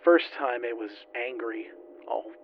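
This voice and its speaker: A man playing a shaken, weary lone surviving spaceship flight engineer, recording a halting, confessional log in an audio drama. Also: mid-range voice, gravelly, muffled recording, slight background noise. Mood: haunted, tired.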